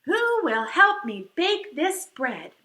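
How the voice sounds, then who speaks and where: A woman voicing an industrious, resolute little mother hen from a storybook, with singsong, drawn-out phrases. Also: high-pitched, sing-song, sharp tone, heavily acted. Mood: hopeful, calling. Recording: echoey room.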